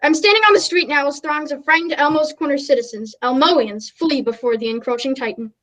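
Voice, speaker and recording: A woman playing an earnest, melodramatic, easily flustered radio news reporter in a comic radio play, halting and polite. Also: high-pitched, fairly bright tone, rough recording. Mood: breathless awe.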